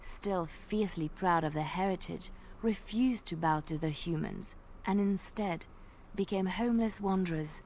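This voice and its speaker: A woman voicing a gentle young woman bard in a video game, telling old tales in a soft, measured and earnest way over faint game ambience. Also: lowish voice, slight background noise, poor audio. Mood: storytelling. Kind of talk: storytelling in video game dialogue.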